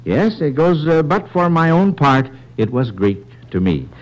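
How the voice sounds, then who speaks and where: A man's voice: fairly high pitch, very animated, measured pace, muffled recording. A man hosting a 1940s-style radio quiz for children, hesitant, with frequent murmured affirmations. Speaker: quiz show host.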